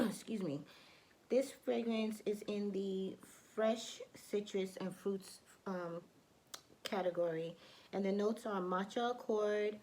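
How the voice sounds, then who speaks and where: A woman talks through her fragrance picks in a loose, chatty vlog voice, full of ums and restarts. Her voice is a bit hoarse from allergies, and she coughs and clears her throat along the way. Her pitch sits in the middle for a woman. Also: darkish tone, poor audio. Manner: chatty, many fillers and restarts. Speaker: beauty vlogger. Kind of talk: casual product review.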